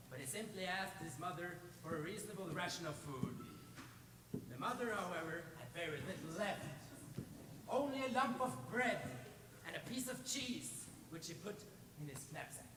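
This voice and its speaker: A young man from Norway narrating a folktale live on stage in a theatrical, expressive way. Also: high-pitched, moderate pace. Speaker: storyteller. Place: stage in a large hall. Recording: echoey hall, distant mic, clear noise.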